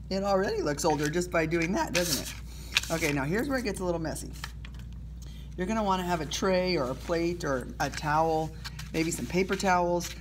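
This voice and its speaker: A woman's voice: fairly low pitch, background noise. A woman teacher casually talking students through an art project while she works, self-deprecating and full of little okays and ums. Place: indoors at a table, recording her hands from above.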